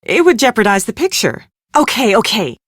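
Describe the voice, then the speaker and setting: An actress playing a brisk, sharp-tongued, exasperated woman on a film crew in an adventure game, speaking with sarcastic emphasis. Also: fairly high pitch, very animated, no echo, clean studio sound. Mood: defensive. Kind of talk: scripted game character dialogue.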